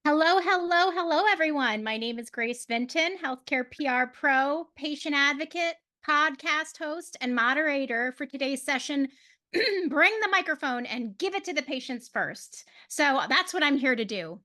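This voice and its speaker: Bright, high-pitched woman moderating a panel. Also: lively intonation, moderate pace. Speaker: panel moderator and patient advocate. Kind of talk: prepared introductory speech.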